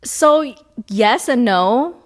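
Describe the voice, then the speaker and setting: A young woman chef and restaurant owner chatting casually as an interview guest, relaxed and slangy, laughing often. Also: a little high, very slow.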